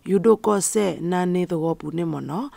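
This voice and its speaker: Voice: mid-range voice, moderate pace, gravelly, warm tone, no room sound. A radio presenter reading scripted news.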